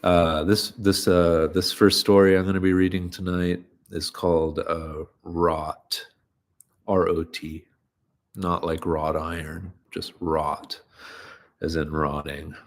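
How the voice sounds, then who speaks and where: A man's voice: sits low, slow pace. A man reading stories aloud on a live stream, chatting loosely between readings, a bit stuffy and nasal. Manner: rambling, relaxed, many fillers. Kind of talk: live-streamed story reading with casual chat.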